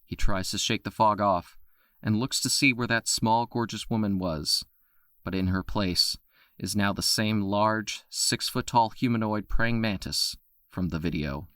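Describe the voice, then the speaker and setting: A man narrating an audio drama with a steady, scripted storytelling delivery. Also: lowish voice, unhurried, no echo, studio quality.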